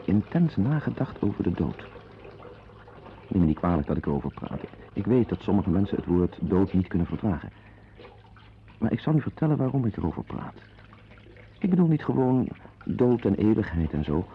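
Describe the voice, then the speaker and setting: Bitter, gravelly-edged neurotic husband. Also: male voice, sits low, heavily acted. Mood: musing, confiding. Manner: digressive, sardonic, prone to outbursts. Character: bitter, neurotic, hectoring husband confiding in his listeners. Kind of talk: radio play monologue and dialogue acting. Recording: muffled lo-fi old radio play tape.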